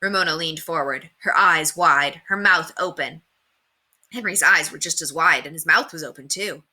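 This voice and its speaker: A woman's voice: sits low, normal speed, sharp tone. A woman narrating a children's story aloud from a book.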